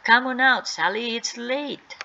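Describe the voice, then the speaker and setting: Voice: mid-range voice, moderate pace, creaky, pushed and bright, muffled recording, pitched above the performer's own voice. A storyteller voicing an eager, urgent young boy in a children's story. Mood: calling out.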